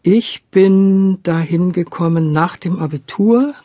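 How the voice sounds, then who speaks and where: A woman over seventy reminiscing as an interview guest, thinking aloud in a halting, thoughtful way. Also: on the low side, dark tone, no echo. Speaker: interview guest, radio drama director.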